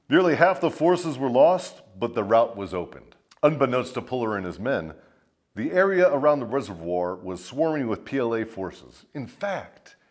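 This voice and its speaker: A man hosting a military storytelling show, delivering dramatic scripted tales with crude, swaggering, profane humour. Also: medium pitch. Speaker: host of a military storytelling show, a first sergeant.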